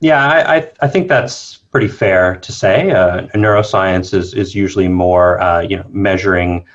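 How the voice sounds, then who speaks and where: A man from Canada speaks low and slow-to-settle, with frequent fillers and restarts as he works through his answers. His voice comes over a dull, lo-fi line that takes the sparkle off it. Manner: thoughtful, hesitant, many fillers and restarts. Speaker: guest, circadian biologist. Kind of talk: casual interview talk.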